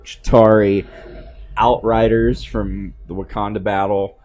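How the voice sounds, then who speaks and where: A man giving excited, casual film commentary in slangy, exclamatory bursts as a host. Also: fairly low pitch, slow pace, muffled recording. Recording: music playing behind the voice.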